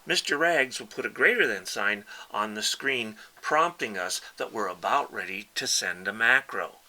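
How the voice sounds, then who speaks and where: Guy doing a casual how-to demo, bright clear-cut voice, pitch moves around a lot. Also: animated, moderate pace, rough recording. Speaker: instructor and presenter demonstrating a ham radio keyer. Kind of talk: casual step-by-step tutorial.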